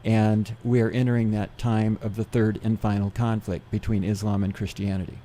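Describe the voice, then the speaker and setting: Mellow man explaining steadily. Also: on the low side, little pitch movement, normal speed, a little hiss or hum. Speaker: seminar speaker. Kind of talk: radio interview guest explaining prophecy.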